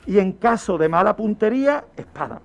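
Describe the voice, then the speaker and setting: A man's voice: high-pitched, lively intonation, normal speed, creaky. A man presents a true-crime radio segment, explaining emphatically and repeating phrases for effect. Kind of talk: storytelling explanation in a radio talk. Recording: dull lo-fi radio audio, music underneath. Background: background music.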